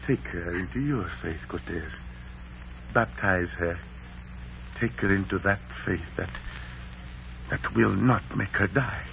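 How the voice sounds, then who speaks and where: An actor playing a proud, sorrowful captive Aztec emperor, speaking formally in a 1940s radio drama. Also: male voice, deep voice, slow, vocal fry, pauses often, a bit bright, full character voice. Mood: sorrowful, solemn. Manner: formal, declamatory. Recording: noisy, dull 1940s radio recording.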